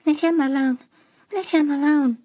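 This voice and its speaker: A woman's voice: high voice, steady tone, normal speed, no room sound, natural voice. A performer voicing a timid, frightened little girl in a storybook reading. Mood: pleading. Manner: timid, pleading. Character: frightened little girl clinging to her friend. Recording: dull lo-fi recording.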